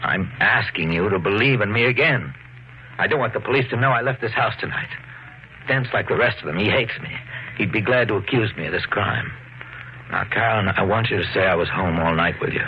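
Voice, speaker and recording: An actor as a deep-voiced, controlling husband. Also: male voice, fairly quick, close to an everyday voice. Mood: quietly threatening. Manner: commanding, coolly persuasive. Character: controlling, menacing husband suspected of murder. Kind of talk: 1950s radio thriller acting. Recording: muffled 1950s radio with faint noise.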